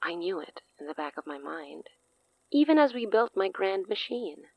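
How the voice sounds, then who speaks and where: An actress playing a grandiose, amused, long-lived sorceress in a horror audio drama, speaking eloquently and theatrically with hushed asides. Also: female voice, medium pitch, very animated, normal speed, creaky, no echo, poor audio. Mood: ominous, awed.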